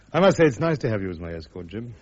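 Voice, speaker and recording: British officer on trial, husky, easygoing but stubborn, lively pitch, muffled radio play. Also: male voice, a little fast. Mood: wry amusement. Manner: wry, chuckling, clipped colloquial. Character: wry, stubborn, easygoing officer facing court martial. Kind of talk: British radio drama acting.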